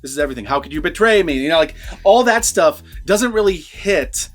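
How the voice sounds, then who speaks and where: A man with a slightly high voice talks through a film review in a casual, conversational way. He swears freely and repeats words for emphasis. Music plays behind him. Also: lively intonation, a little fast. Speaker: movie reviewer.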